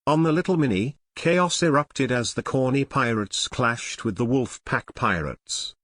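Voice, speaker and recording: A man's voice: medium pitch, unhurried, a bit bright, dry recording. Male audio drama narrator, dry sound.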